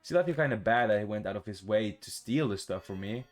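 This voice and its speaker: Expressive man reading a visual novel over music. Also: fairly low pitch, fast talker. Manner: expressive, casual, sweary. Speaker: gaming video host. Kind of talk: reading a visual novel aloud with playful reactions.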